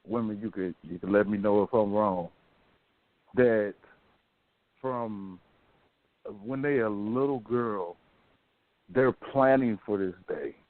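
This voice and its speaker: A man's voice: fairly low pitch, takes it slowly, pauses often, no room sound. A man hosting a radio talk show in casual, colloquial, opinionated chat, telling things like stories. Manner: colloquial, storytelling. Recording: thin phone-like band-limited sound.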